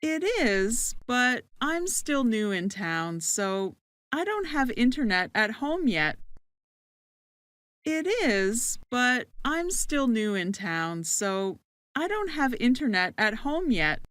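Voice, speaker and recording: A woman playing a friendly new student in a slow scripted dialogue for English learners, saying each line twice. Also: a little high, very animated, fairly bright tone, no echo, studio quality, exaggerated voice.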